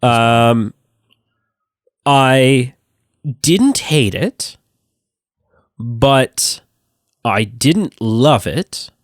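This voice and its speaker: A sick, nasal-sounding man hosts a casual film review podcast, lively and quick to curse or laugh. His voice sits at an ordinary middle pitch. The recording is dry and close to the mic. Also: no room sound. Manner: animated, swearing, laughing often. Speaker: podcast host. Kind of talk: casual movie review chat.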